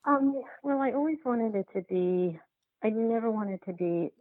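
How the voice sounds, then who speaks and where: A woman answering interview questions as a filmmaker, in a halting, conversational way with repeated words. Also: on the high side, expressive, no room sound, narrow, tinny audio. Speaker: documentary filmmaker, podcast guest.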